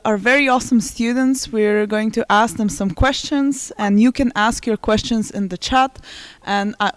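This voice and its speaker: Higher-voiced woman hosting a panel, dry sound. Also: no room sound. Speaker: host of a student panel. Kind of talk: casual livestream presenting.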